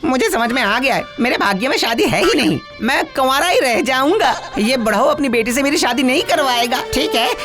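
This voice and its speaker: A woman's voice: sits high, lively intonation, brisk, few pauses, music in the background. A woman voicing a lovesick young male cartoon ghost, eager and sulky, pleading and complaining dramatically. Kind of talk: animated comedy cartoon acting.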